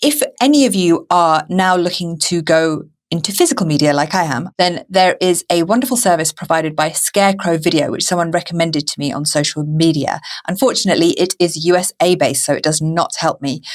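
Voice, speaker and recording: A woman hosting a podcast interview in a conversational style. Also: deep voice. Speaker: podcast host.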